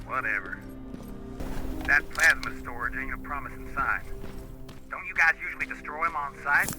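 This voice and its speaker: An actor playing a cocky, foul-mouthed resistance lookout in a video game, flippant, sneering and slangy. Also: male voice, medium pitch, moderate pace, over loud music, close to an everyday voice. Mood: snide. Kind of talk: video game drama acting.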